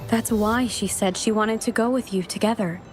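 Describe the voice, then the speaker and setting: A woman playing a calm, composed young adventurer and steady companion in an anime-style video game. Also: mid-range voice, moderate pace, over music, close to an everyday voice. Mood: calm, thoughtful.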